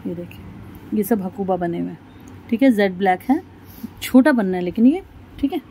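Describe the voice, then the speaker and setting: Woman vlogger, soft mellow tone, chatty, shows fabrics to viewers in a market shop. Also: mid-range voice, expressive, moderate pace, a little hiss or hum, poor audio. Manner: chatty, addresses viewers as guys. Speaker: shopping vlogger. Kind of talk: casual shopping vlog.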